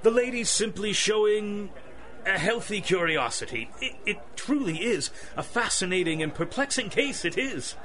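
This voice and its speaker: An actor playing a flustered, blustering police chief who is formally polite and stammers, in a 1930s-style radio drama. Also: male voice, high-pitched, lively intonation, moderate pace, a bit bright. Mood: flustered, courteous.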